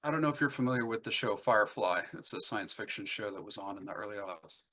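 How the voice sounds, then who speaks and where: A man's voice: lowish voice, lively intonation, fast talker, sharp tone, a little hiss or hum. A middle-aged man chatting casually as an interview guest, telling anecdotes in an enthusiastic, rambling way. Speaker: interview guest, singer-songwriter.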